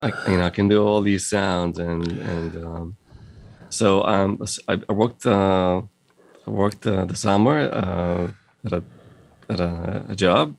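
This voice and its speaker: A middle-aged man from Moldova with a mixed Russian and Israeli accent, talking haltingly in a casual interview, with many fillers and restarts. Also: sits low, creaky, dry recording. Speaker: guest, audio company founder.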